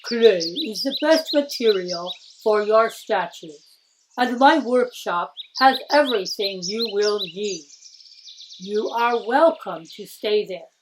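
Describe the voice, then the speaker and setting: A woman voicing a sick, wise old male master craftsman in a storybook, advising gently. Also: mid-range voice, animated, slow pace, noisy background.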